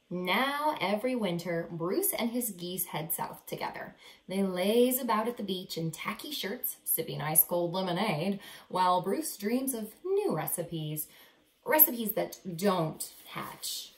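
A woman in her thirties reading a children's picture book aloud with dramatic, deliberate emphasis, in a living room. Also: mid-range voice, big pitch swings. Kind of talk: expressive children's read-aloud. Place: small living room, indoors. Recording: roomy, echoey indoor sound.

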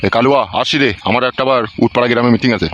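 A man's voice: medium pitch, racing, fairly bright tone, highs cut off, slight background noise, lightly acted. A man playing a corrupt, self-important village politician in a comedy cartoon, bossy, boastful and lecherous in manner. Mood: boastful, scheming. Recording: music behind the voice.

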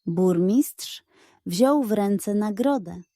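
A woman's voice: medium pitch, animated, very slow, warm tone, no room sound, clean studio sound. A woman narrating a scripted bedtime story for children.

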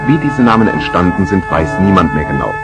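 A man's voice: low voice, very animated, brisk, loud music behind the voice. A man narrating a children's radio play from the 1970s in a scripted storytelling style.

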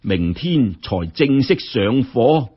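Man as a mild, husky-voiced male tutor. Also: mid-range voice, very animated, slow, muffled recording, exaggerated voice. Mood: calm, formal. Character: mild, encouraging male tutor, calm and formal.

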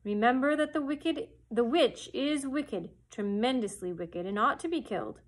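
A woman voicing the great head of a stern male wizard, loud and commanding. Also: fairly high pitch, animated, normal speed.

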